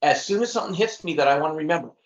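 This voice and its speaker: A man's voice: on the high side, expressive, racing, muffled recording. A middle-aged man speaking earnestly as a podcast guest, often repeating words and laughing at himself. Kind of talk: casual interview chat.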